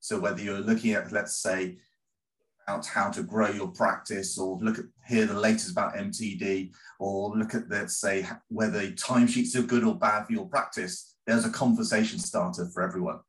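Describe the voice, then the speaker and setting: A man being interviewed as a guest on a podcast, talking in a relaxed, rambling, good-humored way. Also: on the low side, normal speed, rough recording. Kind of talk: casual interview chat.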